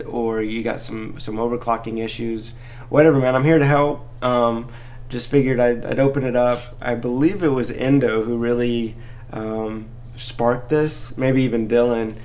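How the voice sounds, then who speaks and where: A man's voice: medium pitch, level tone, moderate pace, darkish tone. A man hosting a casual live chat, talking in an informal, encouraging and slangy way as he helps listeners out. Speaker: live Q&A host, crypto mining helper.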